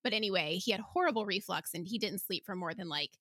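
A woman's voice: medium pitch, fast talker, pushed and bright, no room sound, studio quality. A woman hosting an upbeat podcast interview, chatty and enthusiastic. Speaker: podcast host.

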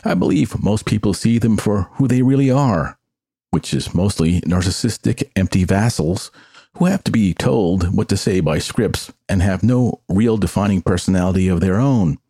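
An older man speaks in a deep, mellow voice, recorded dry with very little room sound. He hosts a solo podcast, sharing his opinions in a conversational monologue. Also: lively intonation, normal speed, gravelly, no echo. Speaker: podcast host.

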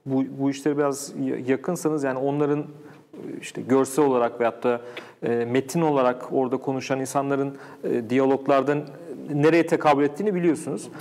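A man's voice: mid-range voice, fairly even intonation, darkish tone. A man hosting a casual, unscripted interview, searching for words and often restarting his sentences. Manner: halting, searching for words, many false starts. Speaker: interview host. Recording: echoey hall-like reverb.

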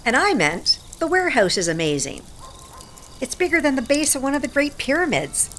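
Blunt aging American worker, lively intonation. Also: female voice, sits high, a little fast, slight background noise. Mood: matter-of-fact. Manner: salty, plainspoken, folksy. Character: blunt, aging warehouse worker living in a van. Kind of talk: naturalistic audio drama acting.

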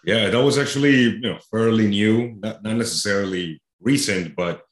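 A man's voice: on the low side, normal speed, roomy sound. A man from Colombia with a Colombian accent, a youth soccer trainer chatting as a guest, loose and full of "you know". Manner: conversational, many fillers like "you know". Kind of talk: casual interview chat.

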